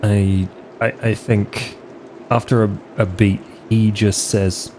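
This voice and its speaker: Offhand man with a low voice. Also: little pitch movement, slow, darkish tone, slight background noise. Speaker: player in a tabletop roleplay podcast. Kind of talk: casual improvised roleplay.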